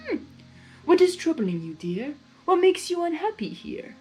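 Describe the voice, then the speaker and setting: A woman voicing a wise, caring female zebra who speaks formally in measured rhymes. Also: very high voice, very animated, a little mellow, soft background music, pitched above the performer's own voice, full character voice. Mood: gently probing.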